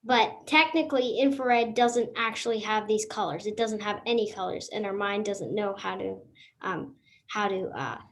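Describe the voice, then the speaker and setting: A woman's voice: mid-range voice, moderate pace. A female student co-presenter explaining informally on a live online session, hesitant and restarting sentences as she goes.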